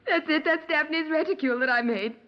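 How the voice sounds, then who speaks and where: An actress plays a grieving British middle-class woman in a 1950s radio drama. Her voice sits high, and she rambles effusively and melodramatically about her loss. The old recording is slightly dull. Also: brisk, lightly acted. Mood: excited.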